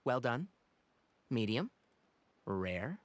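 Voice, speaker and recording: A man voicing an easygoing, considerate young man in a video game, with a gently teasing manner. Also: medium pitch, sing-song, very slow, noisy background. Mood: busy, friendly.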